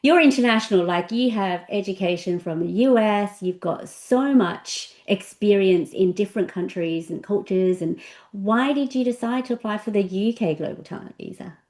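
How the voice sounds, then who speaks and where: A woman's voice: mid-range voice, moderate pace, a little mellow. Upbeat woman hosting a video interview. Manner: upbeat, enthusiastic, effusive. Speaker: interview host and visa coach.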